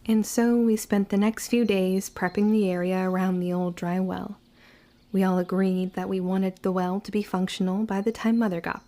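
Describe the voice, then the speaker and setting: A woman's voice: medium pitch, dark tone, lightly acted. A woman playing a guarded young witch who narrates her story with formal, old-fashioned phrasing in an audio drama. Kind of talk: scripted first-person audio drama narration.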